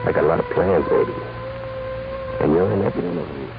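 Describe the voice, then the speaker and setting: A cocky male gunman in a 1940s radio drama. He is glib and boastful, with a lowish, lively voice. The recording is tinny and very noisy. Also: fast, heavily acted. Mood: smooth, boastful. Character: cocky, vicious gunman posing as a smooth-talking sweetheart.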